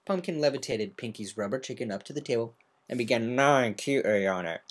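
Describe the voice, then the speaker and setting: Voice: medium pitch, expressive, fast. An amateur narrator giving a semi-dramatic reading of a scripted story, occasionally stumbling over words.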